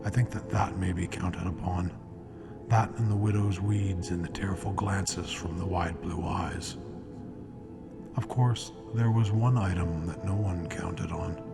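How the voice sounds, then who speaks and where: An actor as an ominous, knowing true-crime storyteller, narrating a radio mystery with wry, deliberate phrasing. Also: male voice, low voice, fairly even intonation, gravelly. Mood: wry foreboding. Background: music.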